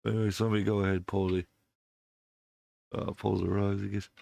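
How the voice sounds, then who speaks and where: A man hosting his channel while sick, his voice deepened by illness, talking casually to viewers with many fillers and restarts into a desk microphone that clips when he is too close. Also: flat delivery, a little fast, creaky, mellow. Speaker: channel host.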